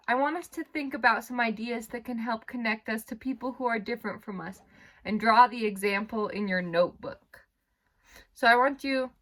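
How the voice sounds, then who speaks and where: A woman with a fairly high voice speaks as an elementary school teacher. She leads a read-aloud lesson in simple instructional phrases, guiding her young pupils with questions. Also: fairly bright tone.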